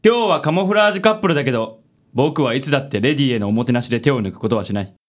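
ラジオドラマで、自信に満ちた紳士的な気取った色男を演じる男性。. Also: male voice, mid-range voice, expressive, rapid-fire, natural voice. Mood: gallant, flattering. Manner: smooth, affected gallantry. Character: suave, self-assured handsome gentleman charmer. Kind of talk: radio drama acting.

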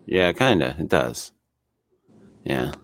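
A man's voice: extremely low pitch, big pitch swings, takes it slowly, a little hiss or hum. A man co-hosting a podcast in loose, laid-back chat, swearing freely and filling gaps with "uh". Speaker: podcast co-host.

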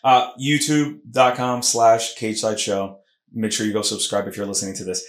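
A man's voice: lowish voice, little pitch movement, moderate pace. A man hosting a casual sports podcast, talking emphatically with lots of restarts. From Puerto Rican heritage. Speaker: podcast host. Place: Philadelphia podcast studio room. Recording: roomy echo.

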